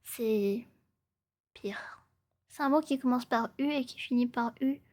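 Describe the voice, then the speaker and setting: Soft high-voiced young woman, often whispering. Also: slow. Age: 20s. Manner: playful, chatty, repeats phrases. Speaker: live streamer. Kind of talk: casual livestream chat, often whispered ASMR.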